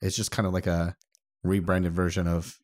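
A man's voice: low voice, flat delivery, normal speed, darkish tone. A man co-hosting a podcast, discussing tech casually in a conversational, explanatory way. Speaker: podcast co-host.

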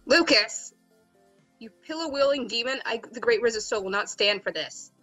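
A woman's voice: fairly high pitch, lively intonation, normal speed, fairly bright tone, soft background music. A woman voicing a sleepy, melodramatic teenage boy who calls himself a great wizard, protesting in a whiny way. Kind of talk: fan voicing of a visual novel.

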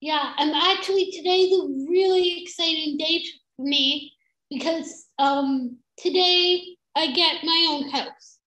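A woman's voice: very high-pitched, takes it slowly, sharp tone, poor audio. A woman panelist chatting eagerly on a video call. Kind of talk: casual video call chat.

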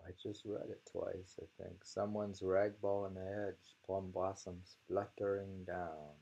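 A man in his sixties with a low voice reads short poems aloud at a slow pace. He is informal and halting, often musing and repeating what he reads. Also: little pitch movement, vocal fry, dark tone, highs cut off. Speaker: poetry reader. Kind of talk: informal reading of haiku aloud with casual remarks. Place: small plain room indoors.

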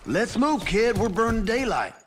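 An actor playing a salty, folksy cowboy fighter with a brisk manner in a Western video game. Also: male voice, high voice, very animated. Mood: eager.

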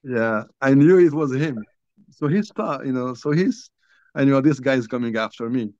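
An older man from Algeria, a guest author, telling a personal story in a halting, conversational way with many restarts, recorded over a video call from a small room at home. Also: medium pitch, a little mellow, dry recording. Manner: halting, restarts often, many you-knows. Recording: dull lo-fi video call audio.

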